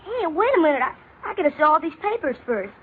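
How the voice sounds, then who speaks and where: A woman's voice: sits high, big pitch swings, fast. A woman playing a streetwise, chipper young newsboy with an American accent and slangy, plain speech in a radio comedy. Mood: eager. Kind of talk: old-time radio comedy acting. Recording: tinny old radio recording, faint noise.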